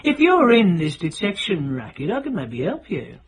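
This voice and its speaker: A middle-aged, working-class British chancer in a radio play. He is cocky and unsentimental, with a husky voice that swings widely in pitch. His talk is clipped and sardonic. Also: wildly swooping pitch, normal speed, full character voice. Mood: sly, scheming. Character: hard, cocky, self-made working-class British man, unsentimental schemer. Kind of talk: radio drama dialogue.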